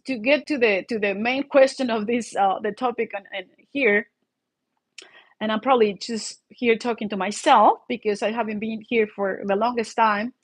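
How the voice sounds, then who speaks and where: Mexican-accented woman in a rambling chatty vlog. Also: on the high side. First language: Spanish. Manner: rambling, conversational, seeks agreement with "right?". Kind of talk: informal solo vlog commentary.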